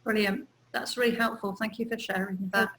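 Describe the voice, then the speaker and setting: A woman hosting an interview who asks thoughtful, probing questions and pauses to search for words. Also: medium pitch, little pitch movement, a bit bright, a little hiss or hum, low-quality recording. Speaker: interview host.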